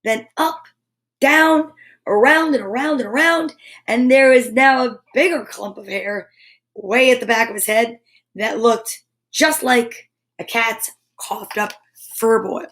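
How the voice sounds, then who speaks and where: Female children's storyteller, high voice, unhurried read-aloud with playful sound effects. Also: fairly bright tone. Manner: playful, drawn-out sound effects and repeated words. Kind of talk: animated picture-book read-aloud for children.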